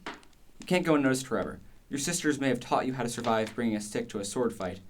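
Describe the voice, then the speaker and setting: A man's voice: medium pitch, expressive, fast, slight background noise, lightly acted. A man playing a bold, speculative philosopher in a radio play, instructive and questioning in manner. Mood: earnest. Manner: didactic, questioning, explains by analogy.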